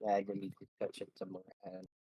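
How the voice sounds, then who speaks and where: Husky, deep-voiced sweary man chatting. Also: monotone, very fast, mellow, no room sound, poor audio. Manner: rambling, sweary. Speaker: host of a group gameplay stream. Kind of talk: casual gaming chat with friends.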